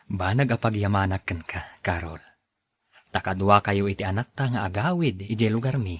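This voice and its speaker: A man playing a dutiful, kind-hearted grown son in a radio drama, earnest and coaxing in a husky voice. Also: lowish voice, normal speed. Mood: grateful, burdened. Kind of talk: Ilocano radio drama acting.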